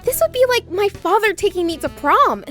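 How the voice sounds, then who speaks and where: An actress playing a sardonic but warm-hearted young female agent, wry and expressive, in a comic dub. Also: very high voice, moderate pace. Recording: music behind the voice.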